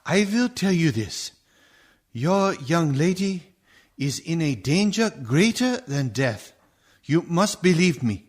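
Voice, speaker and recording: An actor in a radio horror play playing a grave old professor of medicine, husky, halting and ominous in formal phrasing. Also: male voice, on the high side, big pitch swings, heavily acted. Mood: ominous warning.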